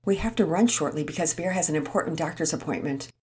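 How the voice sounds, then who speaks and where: A friendly mother who is polite but in a hurry, as a woman reading puts her on. Her voice rises and falls with lively ease. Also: low-pitched, fast talker.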